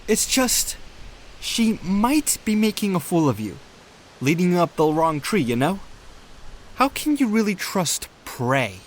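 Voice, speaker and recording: A man's voice: high-pitched, very animated, slow pace, a little hiss or hum, exaggerated voice. A man voicing a young animal nephew, skeptical, wary and sardonic, in a dramatic fandub. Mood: challenging, wary. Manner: doubting, sardonic.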